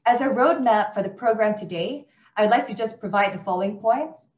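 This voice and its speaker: A woman hosting a webinar over a video call, bright in manner and laughing easily. Her voice sits in the middle range. The sound is roomy and a little dull, as if she talks in an echoey room. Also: mid-range voice, brisk. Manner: upbeat, laughs often. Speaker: webinar moderator. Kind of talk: online panel hosting.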